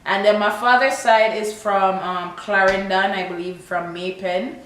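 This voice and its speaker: A very echoey recording with faint noise behind a young woman vlogger from Toronto. She chats casually and animatedly at a middle pitch, mixing a Toronto accent with Jamaican Patois. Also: fairly even intonation, unhurried, fairly bright tone. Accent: Toronto English with Jamaican Patois. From Toronto, Canada. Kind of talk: casual chat to camera, answering tag questions. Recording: very echoey room with background hiss.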